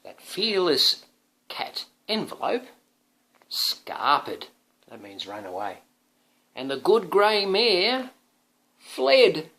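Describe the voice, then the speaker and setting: A man's voice: high-pitched, sing-song, takes it slowly, pauses often, bright, forceful tone. A man, a father, reading a picture book aloud to his young son, drawing out words expressively and adding chatty asides that prompt the child.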